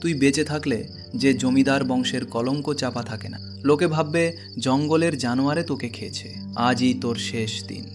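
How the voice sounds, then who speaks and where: A man's voice: mid-range voice, normal speed, lightly acted. A man playing a deceitful, cruel estate henchman in a cartoon story, in a scheming, menacing manner. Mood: gloating menace. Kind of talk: villainous cartoon story acting. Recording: loud music behind the voice.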